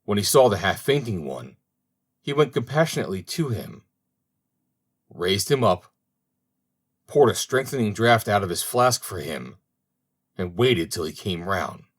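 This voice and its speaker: Male storybook narrator, somewhat low register, scripted fairy-tale reading. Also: big pitch swings, measured pace, pauses often. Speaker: audiobook narrator.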